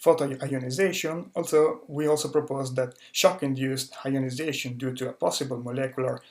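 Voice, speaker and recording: Young guy presenting his research, careful, lots of restarts and repeated words, middle pitch. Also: steady tone, normal speed, a little mellow. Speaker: conference speaker, astrophysics researcher. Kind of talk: recorded conference talk.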